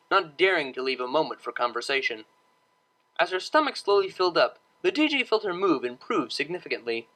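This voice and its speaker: A woman's voice: low-pitched, a bit bright, low-quality recording. A woman reading a scripted story aloud as its narrator.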